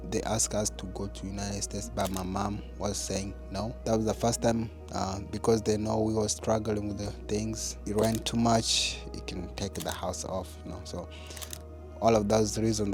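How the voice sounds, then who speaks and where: Low-voiced young man from Uganda. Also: level tone, moderate pace. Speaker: interviewee. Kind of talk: documentary interview. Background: music.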